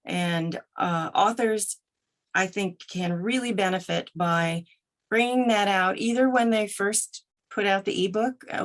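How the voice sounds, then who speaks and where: A woman with a mid-range voice, speaking as a guest in an interview. She phrases her answers deliberately and articulately. The style is conversational, that of a narrator discussing her work. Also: mid-range voice.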